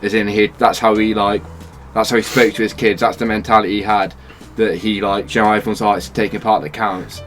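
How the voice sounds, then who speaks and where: A man's voice: on the low side, little pitch movement, brisk, hardly any pauses, a bit bright, faint music behind. A man co-hosting a casual football podcast, building his argument step by step in a hesitant way. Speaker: podcast co-host.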